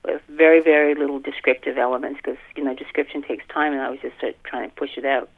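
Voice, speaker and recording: A woman's voice: a bass voice, steady tone, quick pace, husky. A middle-aged woman talks over a thin, tinny telephone line. She is an author telling casual anecdotes in an interview, with a self-deprecating manner. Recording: tinny narrow phone line.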